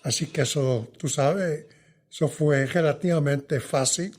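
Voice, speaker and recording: An older man, a veteran journalist, answering interview questions in a thoughtful, hesitant way that often trails off softly. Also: fairly high pitch, measured pace. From New York.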